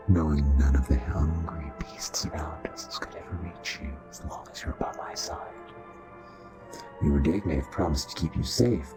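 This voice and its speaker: A man playing a charming, possessive, ancient royal vampire in an intimate romantic audio roleplay, speaking in a courtly, seductive, breathy way. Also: rumbling low, heavily acted. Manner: courtly, seductive, often breathy half-whisper. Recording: soft voice over background music.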